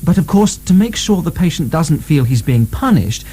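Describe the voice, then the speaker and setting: An actor playing an earnest, precise nineteenth-century asylum doctor explaining things in a radio drama. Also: male voice, on the high side, big pitch swings, normal speed, warm tone, breathy edge. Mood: earnest, clinical. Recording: 1990 radio broadcast, faint hum.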